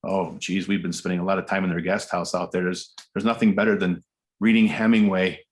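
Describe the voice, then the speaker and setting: A man offers informal remarks as a participant in an online event. His voice is low with little rise and fall, and his manner is appreciative. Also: little pitch movement, a little fast. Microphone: video call.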